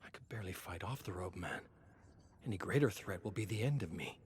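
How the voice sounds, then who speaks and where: A man's voice: low-pitched, lively intonation, fairly quick, a little hiss or hum, pitched below the performer's own voice. A man voicing a desperate, starving fugitive nobleman in a dramatic game story, brooding, anguished and intense. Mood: grim worry.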